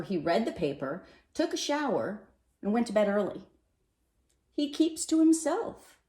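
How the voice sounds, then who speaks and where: A woman reading a children's picture book aloud in an expressive, animated way, with dramatic pauses and emphasis. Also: medium pitch. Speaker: storybook reader. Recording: roomy, echoey sound.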